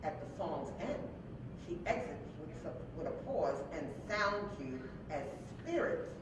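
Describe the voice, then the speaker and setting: Low, lively woman reading stage directions. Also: unhurried. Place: live staged reading in an echoing hall. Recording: very echoey hall, distant mic, clear noise.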